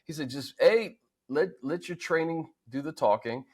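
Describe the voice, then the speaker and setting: American male sports podcast host, slightly high voice, casual conversational delivery. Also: lively intonation, normal speed, darkish tone, husky.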